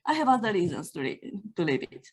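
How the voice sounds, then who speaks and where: A woman from Romania, a native Romanian speaker, talking as a guest over a video call, steady with frequent fillers. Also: fairly low pitch, fast, breathy edge. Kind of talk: casual interview talk.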